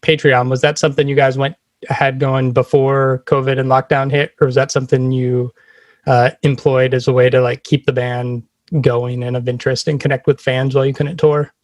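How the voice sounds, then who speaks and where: A man with a mid-pitched voice hosts a podcast in a dry, echo-free recording. He asks questions casually, with informal and slightly hesitant phrasing. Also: flat delivery, moderate pace. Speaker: podcast host, interviewer.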